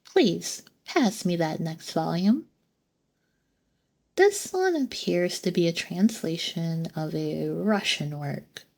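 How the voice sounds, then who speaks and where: A woman voicing a gentle, curious female android in an ASMR roleplay, soft-spoken and formal, with hesitant pauses. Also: lowish voice, animated, vocal fry, heavily acted. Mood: earnest curiosity. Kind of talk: ASMR roleplay, scripted monologue.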